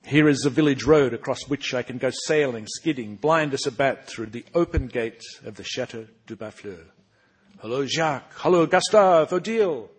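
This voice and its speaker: A man reading as a young french noble who can barely see and doesn't care, husky voice, bright lifted storybook delivery. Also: mid-range voice, moderate pace, voice pushed up, close to an everyday voice. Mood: breezy, giddy. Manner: lively storybook reading, lifted and louder than his asides. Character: young nearsighted French nobleman, carefree and dashing. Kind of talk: reading aloud from his own book.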